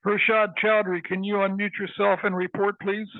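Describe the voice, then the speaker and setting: A man with a high voice moderates a workshop over a video call, polite and firm as he keeps things moving. His audio is tinny and phone-like, with a dry, close sound. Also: level tone, normal speed, no echo.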